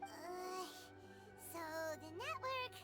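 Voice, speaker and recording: A tiny, childlike fairy from an anime-style game, extremely high and squeaky. She swings wildly in pitch and whines hesitantly. Loud music plays underneath. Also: expressive, takes it slowly, heavily acted. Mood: confused, whiny. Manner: speaks of self in third person, whiny and hesitant. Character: tiny childlike floating fairy companion, female, easily confused.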